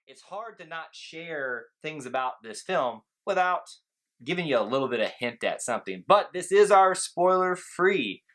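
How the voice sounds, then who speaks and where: A man's voice: on the high side, lively intonation, normal speed, fairly bright tone. Playful, emphatic man chatting. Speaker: movie review co-host. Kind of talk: casual review chat.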